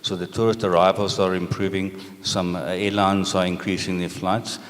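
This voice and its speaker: An older man with a South African accent, giving orderly, measured answers as a business panelist. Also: sits low, level tone. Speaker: panelist, property and hotel businessman. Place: large indoor conference stage. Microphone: handheld microphone. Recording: reverberant conference hall sound.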